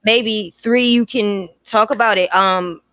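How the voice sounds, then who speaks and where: A woman's voice: medium pitch, slow pace, a bit bright, clear, resonant tone, no echo. A woman hosting a casual interview, informal and feeling out her questions as she talks. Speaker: host interviewing a guest.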